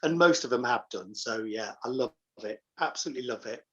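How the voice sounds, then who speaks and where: A man's voice: medium pitch, expressive, a little fast, muffled recording. A middle-aged or older man chatting casually and enthusiastically as an interview guest, repeating phrases for emphasis. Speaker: pantomime dame, interview guest. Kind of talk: casual chat.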